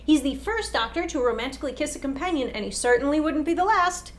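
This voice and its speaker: A woman's voice: sits high, expressive, fast talker. A young adult woman, co-hosting a scripted explainer to camera. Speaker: co-host. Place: small indoor room set with whiteboard.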